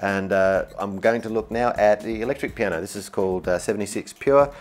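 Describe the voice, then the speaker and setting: A man's voice: on the low side, a little fast. A man presenting a product demonstration in an upbeat, enthusiastic way. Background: music, demonstrated keyboard sounds.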